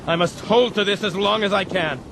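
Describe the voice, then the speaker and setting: An actor playing an earnest, steadfast gentleman sailor, tender and protective, speaking in gently formal phrases in a radio drama. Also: sits high, brisk, background noise. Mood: weary, determined. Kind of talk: broadcast radio drama acting.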